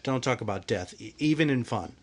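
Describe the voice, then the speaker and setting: A man playing an anxious, lovesick young fiancé in a 1930s-style radio drama, halting and melodramatic. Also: mid-range voice, animated, slightly rough. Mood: worried.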